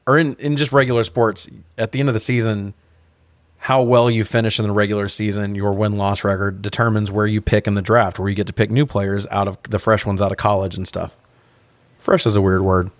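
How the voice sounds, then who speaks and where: A man's voice: fairly low pitch, little pitch movement, a little fast, dry recording. A man co-hosting a podcast, chatting casually and bluntly about films with plenty of swearing. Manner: profane, blunt. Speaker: podcast co-host. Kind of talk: casual film review chat.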